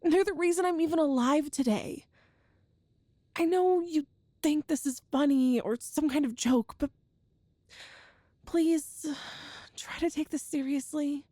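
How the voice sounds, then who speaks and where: A very high, unhurried young woman's voice performed by an actress, recorded close and dry. She is a tender, nervous girlfriend in an intimate ASMR roleplay, halting and earnest as she explains herself. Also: warm tone, no echo. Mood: earnest, pleading. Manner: hesitant, halting, earnest, breathy sighs and nervous laughs.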